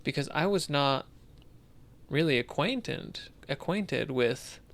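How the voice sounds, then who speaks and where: A man's voice: a little high, expressive, slow, gravelly, fairly bright tone. A man co-hosting a casual discussion, thinking aloud a bit hesitantly as he talks. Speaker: podcast co-host. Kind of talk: casual discussion of video games.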